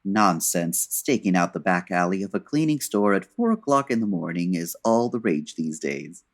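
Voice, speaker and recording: Man acting as suave 1940s gentleman detective, very low voice, dry wit, formal, unflappable, radio mystery comedy. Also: expressive, normal speed. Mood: dryly amused. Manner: dry, urbane, formal wording.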